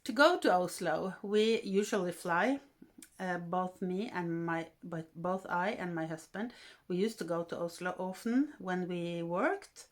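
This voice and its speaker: Slow-talking older Norwegian woman. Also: on the low side. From Norway. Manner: gentle, unhurried, with frequent hesitations. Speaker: vlogger. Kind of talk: casual vlog narration.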